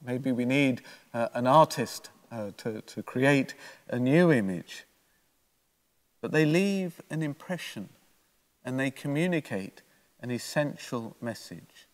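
Older priest in a reverberant church. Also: male voice, mid-range voice, lively intonation, slow. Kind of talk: homily, read from prepared notes. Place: church, at a lectern. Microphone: gooseneck lectern microphone.

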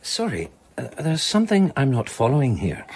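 An actor playing a guarded, bitter ex-policeman with a husky voice in a radio drama. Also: mid-range voice, huge pitch swings, moderate pace, heavy background noise. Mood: guarded, curt. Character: disgraced ex-policeman, guarded, bitter, quietly dogged.

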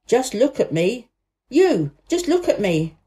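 A woman's voice: mid-range voice, sing-song, normal speed, warm tone, husky. A woman voicing a proud, haughty invalid boy who gives emphatic commands in a storybook reading. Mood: fierce, commanding.

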